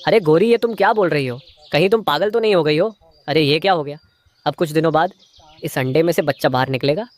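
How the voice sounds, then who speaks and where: A man's voice: on the high side, quick pace, natural voice. A man playing a stingy, scheming cartoon crow husband. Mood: alarmed, grumbling. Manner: muttering schemes, feigning sweetness to his wife. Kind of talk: Hindi moral-story cartoon dialogue.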